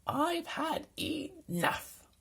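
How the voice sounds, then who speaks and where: A man voicing an eager, polite young boy adventurer in a children's storybook, with an excitable manner. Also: extremely high pitch, very slow, in a whisper, voice pushed up, full character voice. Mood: exhausted. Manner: excitable, exclaiming.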